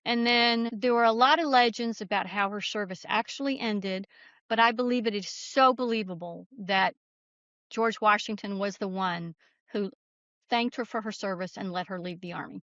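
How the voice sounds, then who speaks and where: A woman's voice: medium pitch, normal speed, a bit bright, no room sound, muffled recording. A woman speaking as a guest author in an interview, telling stories in an earnest, explanatory, conversational way. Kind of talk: conversational interview answers, storytelling.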